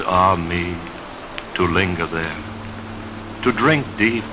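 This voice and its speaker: Slow, low-voiced man, vintage radio poetry reader. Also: noisy background. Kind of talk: 1930s late-night radio poetry reading and sponsor announcing. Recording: muffled vintage radio sound over music. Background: dreamy music.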